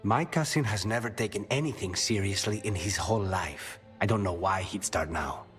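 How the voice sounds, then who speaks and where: A man's voice: lowish voice, normal speed, fairly bright tone, faint music behind, close to an everyday voice. An actor playing a brooding, guarded male assassin with an intense streak in a video game. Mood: worried.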